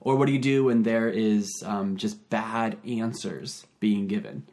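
Male pastor, podcast host, casual, fillers, relaxed chat. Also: lowish voice, a little slow. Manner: casual, many fillers like "like" and "you know". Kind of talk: podcast conversation.

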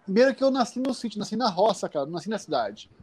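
A man from the Campinas area of Brazil, telling childhood stories in a casual, animated way on a live call, laughing often. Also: sits high, very fast, breathy edge. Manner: animated, laughing, many restarts. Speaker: guest. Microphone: phone on a live stream.

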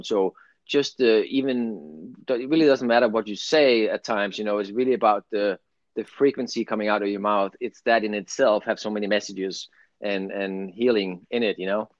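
A middle-aged man with a Danish accent and a fairly low voice speaks in a rambling, earnest, conversational way. The sound is dry and close, a little dull and lo-fi. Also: no room sound. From Denmark. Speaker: guest, spiritual channel. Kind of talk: casual spiritual conversation.

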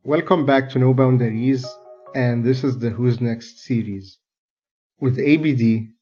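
Young male host, very slow delivery, muffled audio with faint music behind. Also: medium pitch. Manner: relaxed, playful, unhurried. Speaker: podcast host. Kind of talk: casual interview.

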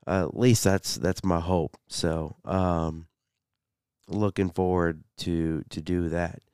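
A man hosting a solo sports podcast, a bit congested, talking haltingly with many fillers. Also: deep voice, fairly even intonation, a little mellow, clear, resonant tone, no echo, studio quality. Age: 30s. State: congested, slight cough. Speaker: podcast host.

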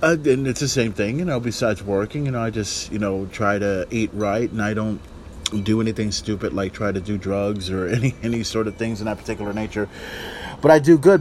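A man's voice: fairly low pitch, a little hiss or hum. A man in his forties hosting a podcast, talking in a casual, rambling, emphatic way. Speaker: wrestling podcast host.

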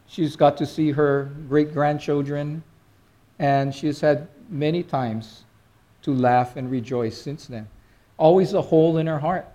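Soft-toned man giving a halting sermon. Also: on the high side. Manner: halting, conversational, many restarts and fillers. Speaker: pastor. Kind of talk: informal sermon.

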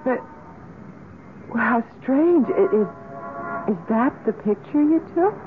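A young woman in a vintage radio mystery. Her high voice swings widely in pitch, and it comes through narrow and tinny with faint hiss. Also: lively intonation, takes it slowly, music in the background. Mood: puzzled, uneasy. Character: young woman, bubbly girlfriend, anxious and pleading under threat. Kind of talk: 1970s radio drama acting. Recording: tinny, hissy old radio broadcast.

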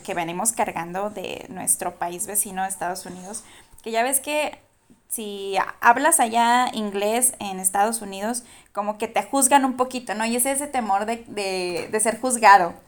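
A woman with a Mexican Spanish accent, a guest on a casual talk show, lively and joking with her former teacher. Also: mid-range voice, measured pace. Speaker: guest, former student of the host. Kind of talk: casual chat.

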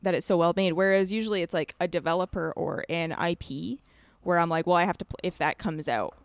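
A woman's voice: fairly low pitch, steady tone, a little fast, no room sound. A woman talking casually and emphatically about video games, with an enthusiastic conversational manner. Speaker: podcast co-host discussing video games. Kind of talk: casual chat, game review.

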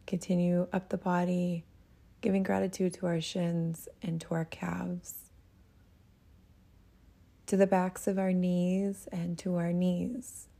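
A woman leading a guided meditation as a teacher, speaking in a gentle, soothing manner with breathy phrase endings. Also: on the low side, flat delivery, takes it slowly, long pauses.

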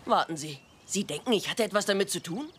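A man's voice: sits high, lively intonation, a little fast, fairly bright tone, slight background noise. A male actor playing a wary, defensive sixteen-year-old outsider in a German TV drama dub, speaking standard German. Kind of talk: dubbed TV drama dialogue. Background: music.

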